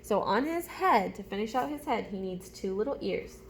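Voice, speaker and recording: A woman's voice: mid-range voice, lively intonation, moderate pace, a little hiss or hum. A woman teacher reading a storybook aloud to young children, chatting to them directly with simple questions and step-by-step prompts.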